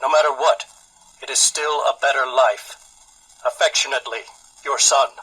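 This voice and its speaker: A husky, earnest young immigrant son. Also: male voice, medium pitch, steady tone, normal speed, bright, forceful tone, rough recording. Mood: wistful. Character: earnest, wounded young Helghast immigrant son writing to his mother. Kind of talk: dramatic video game audio log.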